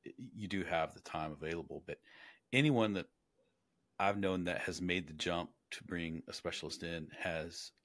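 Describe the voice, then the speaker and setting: A man with a fairly low voice hosts a casual interview. He talks haltingly, doubling back on words mid-thought. The sound is dry, with little room in it. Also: fairly bright tone, no room sound. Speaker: podcast host.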